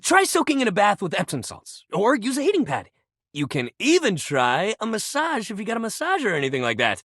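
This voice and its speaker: Game role: an upbeat gym-bro coach, very animated, supportive, dry close sound. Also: male voice, high voice, brisk, dry recording, full character voice. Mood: caring, encouraging. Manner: peppy, encouraging, casual bro slang. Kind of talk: video game character voice lines.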